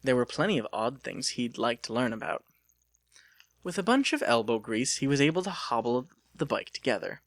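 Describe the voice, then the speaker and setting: A man's voice: medium pitch, very animated, moderate pace, no echo, studio quality. A man reading an audiobook as a dramatic scripted narration.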